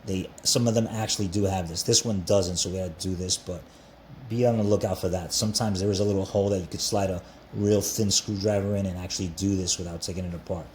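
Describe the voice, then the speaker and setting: A low-pitched male voice with clear background noise around it. He hosts a casual repair tutorial indoors, speaking informally and often repeating phrases as he explains each step. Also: fairly even intonation, fast talker, a little mellow. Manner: informal, repeats himself, talks viewer through steps. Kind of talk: casual hands-on how-to narration. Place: indoors at a workbench.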